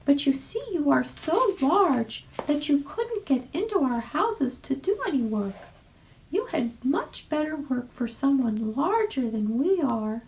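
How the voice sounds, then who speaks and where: A woman voicing a tiny, sensible red ant in a storybook, small and squeaky, polite and matter-of-fact. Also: sing-song, measured pace, a little mellow, slight background noise, heavily acted, rough recording. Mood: helpful, practical.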